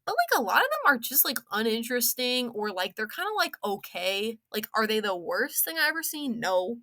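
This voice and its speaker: A young woman rambling casually through an opinionated game story review, slangy and sarcastic, with animated, exaggerated emphasis. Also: on the high side, fairly quick.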